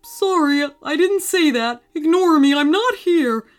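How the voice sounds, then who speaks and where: A furry green storybook monster, voiced by a woman, nervous and eager to apologise. He talks hesitantly and sheepishly, with lively swings of pitch, over clearly audible music. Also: measured pace.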